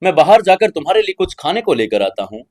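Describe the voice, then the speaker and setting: A man's voice: sits high, sing-song, quick pace, a little mellow, voice pushed up. A man voicing an attentive, upbeat young husband-to-be in an audio drama, sweet-talking and eager to please. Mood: affectionate.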